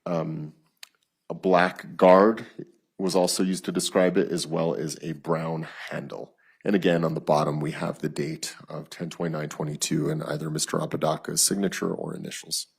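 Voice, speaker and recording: Very deep, gravelly male detective testifying. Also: flat delivery. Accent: American. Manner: halting, formal, matter-of-fact. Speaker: police detective testifying as a witness. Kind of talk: courtroom testimony. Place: courtroom.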